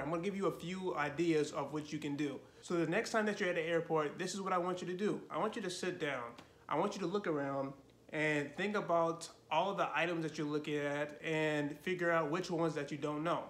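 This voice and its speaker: Guy in his 30s on his couch talking language tips into the camera, lively, lotta "you know"s, slightly higher voice. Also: brisk. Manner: animated, gestures a lot, frequent fillers like "you know". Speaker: Spanish learning channel host. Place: living room, seated on a couch.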